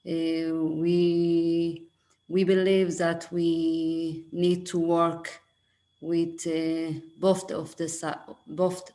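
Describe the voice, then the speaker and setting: Brief: woman from Ethiopia, low flat voice, careful halting English. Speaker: nonprofit CEO. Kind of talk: panel presentation.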